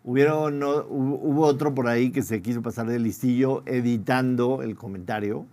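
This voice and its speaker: Un hombre con acento mexicano que modera un programa deportivo, da la palabra y habla con algunas muletillas. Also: male voice, mid-range voice, steady tone, moderate pace, a little mellow. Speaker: sports show host. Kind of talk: casual sports chat.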